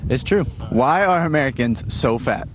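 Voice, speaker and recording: A man's voice: medium pitch, expressive, normal speed, noisy background. A man working as a street interviewer, asking questions in a casual conversational style.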